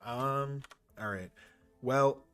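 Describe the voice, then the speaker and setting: A man's voice: medium pitch, very slow, a bit bright, clear, resonant tone. A man giving casual gameplay commentary as a playthrough host, rambling and thinking aloud as he goes. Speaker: video game playthrough host.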